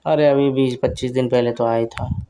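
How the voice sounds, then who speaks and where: A man's voice: medium pitch, steady tone, moderate pace, dark tone, clean tone, natural voice. A man playing a flirty brother-in-law who teases and coaxes in casual talk on a recorded phone call. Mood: teasing. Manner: teasing, coaxing, suggestive.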